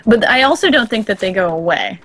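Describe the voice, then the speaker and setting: A woman's voice: mid-range voice, expressive, fairly quick, a bit bright. A woman with a Boston accent, co-hosting a casual game stream, joking and laughing often as she explains game lore. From Boston area. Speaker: co-host. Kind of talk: casual stream chat over gameplay.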